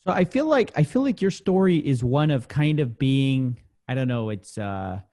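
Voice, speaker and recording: A man's voice: medium pitch, big pitch swings, normal speed, dark tone. A man hosting a conversational interview, speaking informally as he sums up and probes with questions. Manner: informal, summing up and probing. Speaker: interviewer, channel host.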